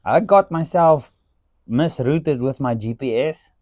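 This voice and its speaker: A podcast host from South Africa with an Afrikaans accent, telling stories in a casual chat, animated and swearing, often saying "dude". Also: male voice, medium pitch, takes it slowly, dry recording. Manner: animated, swearing storyteller who says "dude" a lot.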